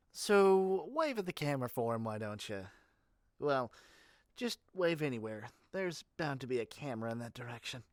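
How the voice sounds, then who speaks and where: A performer plays an overeager salesperson in a scripted ASMR roleplay, talking straight to the listener. The androgynous voice sits at a middling pitch. It rambles breezily through menacing details as if they were nothing, in a dry recording with no room sound. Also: dry recording, natural voice. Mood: eager, solicitous. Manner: rambling, breezily matter-of-fact about menace. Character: eager, chatty salesperson matchmaking dangerous obsessive lovers.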